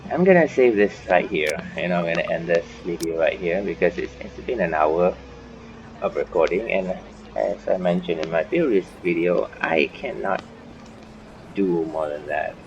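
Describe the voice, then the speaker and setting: Low-voiced man doing casual game commentary. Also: expressive, moderate pace, creaky. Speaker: gaming video host. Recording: dull microphone, faint background noise.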